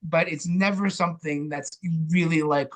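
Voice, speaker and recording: A man's voice: on the high side, fairly even intonation, normal speed, a bit bright. A man talking candidly and casually as an interview guest, acting out little quoted asides. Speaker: interview guest, theatre director and playwright.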